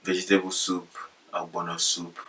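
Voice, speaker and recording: A man's voice: low-pitched, completely flat delivery, normal speed, a bit bright, faint music behind. A young Nigerian man with a Nigerian accent, joking around in a casual chat with his wife. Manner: playful, joking, drops into pidgin. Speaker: co-host, husband. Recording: voice from a distance, with the mic far off.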